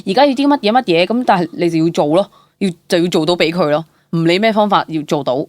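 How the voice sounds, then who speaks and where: A young woman with a Hong Kong Cantonese accent chats as an interview guest, telling stories in an animated, candid way and dropping in English words. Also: lowish voice, fast, no room sound. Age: young adult. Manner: animated, chatty, mixes English words into speech. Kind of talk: casual, candid storytelling.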